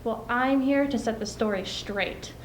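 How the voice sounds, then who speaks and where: A woman's voice: on the high side, measured pace. A young woman student storyteller performing a comic fairy-tale monologue in a chatty, sassy way. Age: teens or young adult. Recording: roomy, noisy amateur stage recording.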